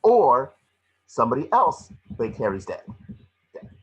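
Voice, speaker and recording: A man playing a small, cocky, wisecracking mouse detective in a table read, with a rough-edged husky voice. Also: medium pitch, sing-song. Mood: insistent. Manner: fast-talking wisecracking patter. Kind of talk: animated script table read.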